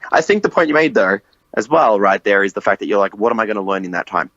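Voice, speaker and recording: A man's voice: on the low side, animated, fast, fairly bright tone, no echo, lo-fi sound. A man in his late twenties hosting a podcast, chatting casually and animatedly, swearing and laughing often. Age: 20s. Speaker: podcast host. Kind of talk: casual conversation.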